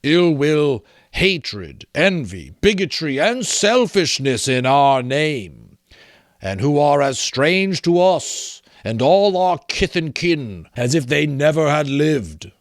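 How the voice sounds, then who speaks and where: A man plays a gigantic ghostly spirit from a storybook, stern but benevolent. He declaims very slowly and emphatically, pressing on each word in a dry, close recording. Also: a little high, sing-song, no echo. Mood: indignant, solemn. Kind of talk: dramatic storybook reading.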